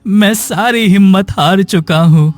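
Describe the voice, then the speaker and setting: A man plays a poor, sick hunchback in a cartoon tale. His high voice drags slowly with plaintive despair. The delivery is melodramatic, with faint music underneath. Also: no room sound. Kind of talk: dramatic Hindi cartoon story dialogue.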